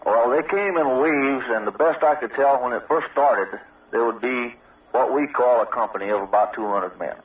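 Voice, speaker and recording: A man's voice: mid-range voice, normal speed. Formal soldier, male, on distant muffled recording. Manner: formal, matter-of-fact military phrasing. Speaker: army sergeant, interviewee. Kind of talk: answering a news interview. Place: outdoor observation post. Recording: dull, distant old radio field recording.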